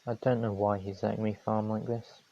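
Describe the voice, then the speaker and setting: A man calmly commentating his own strategy game on a live stream, his voice going hoarse, from New Zealand. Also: fairly low pitch, fairly quick, muffled recording. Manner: calm, matter-of-fact strategy talk.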